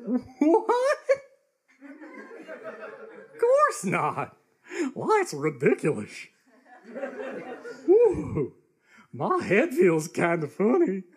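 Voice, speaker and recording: A man playing an excitable, simple, good-natured country fellow in live radio comedy, with a folksy, exclaiming manner. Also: sits high, very slow, pauses often, full character voice. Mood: puzzled. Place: live stage before an audience.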